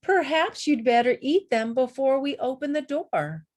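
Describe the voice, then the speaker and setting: Woman reading as a lively, generous storybook mother. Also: high voice, unhurried, voice pushed up. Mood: concerned. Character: Ma, welcoming, generous mother offering cookies.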